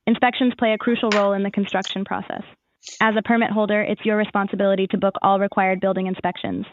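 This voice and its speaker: A woman's voice: medium pitch, level tone, quick pace, phone-quality sound, background noise. A woman's voice as an AI assistant, giving scripted, formal informational answers in a customer-service manner.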